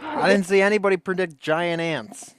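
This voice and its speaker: A man's voice: sits high, fairly even intonation, moderate pace, noisy background. A man hosting a livestream, making casual, wry and mocking remarks as he reacts. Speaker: livestream host.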